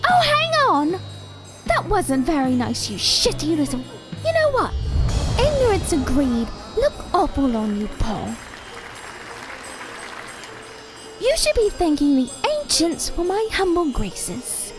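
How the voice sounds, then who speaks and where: Very high, mocking female doll. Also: huge pitch swings, unhurried, over music, exaggerated voice. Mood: indignant scorn. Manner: mocking, theatrical, cutting. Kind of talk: audio drama acting.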